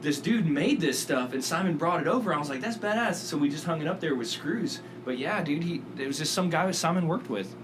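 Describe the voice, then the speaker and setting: A man in his thirties in crude, profane banter, loud and laughing a lot, in a band's jam room. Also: on the high side, expressive, fairly quick, hardly any pauses. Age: 30s. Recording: noisy room recording.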